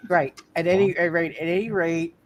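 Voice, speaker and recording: A man running a live roleplay game as game master, chatting casually and often halting to repeat himself. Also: on the high side, steady tone, a loud crowd.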